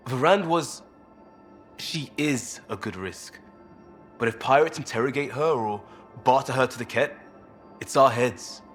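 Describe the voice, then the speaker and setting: A man playing an impulsive, earnest crewman in a video game, speaking in a halting, emphatic way. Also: mid-range voice, natural voice. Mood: defensive. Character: impulsive crewman, earnest and defensive about blunders.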